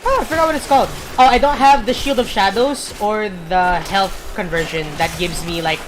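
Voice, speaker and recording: A woman streamer giving rambling gameplay commentary, full of fillers and pauses to search for words. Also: medium pitch, noisy background.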